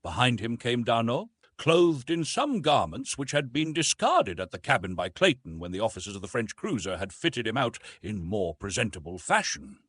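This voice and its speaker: A man's voice: mid-range voice, very animated, normal speed, few pauses, a bit bright, breathy edge, dry recording. A man giving scripted audiobook narration of a classic adventure novel.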